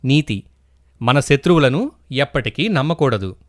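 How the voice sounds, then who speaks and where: A man's voice: mid-range voice, animated, unhurried, no room sound, studio quality. A man narrating a scripted moral story for children as a storyteller.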